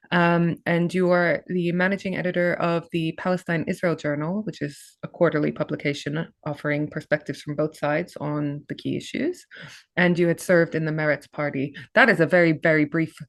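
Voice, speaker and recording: A woman hosting a webinar, introducing a guest in a friendly, halting way with many fillers. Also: sits low, dry recording. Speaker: webinar host.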